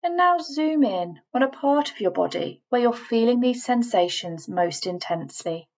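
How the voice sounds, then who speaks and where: A woman reading a scripted guided relaxation in a measured, instructing manner, leaving deliberate pauses between phrases. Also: fairly high pitch, expressive, darkish tone, lo-fi sound. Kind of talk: scripted guided meditation voiceover.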